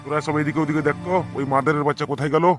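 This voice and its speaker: A man voicing a rough cartoon gang sidekick who barks orders. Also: on the high side, flat delivery, very fast, close to an everyday voice. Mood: menacing. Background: music.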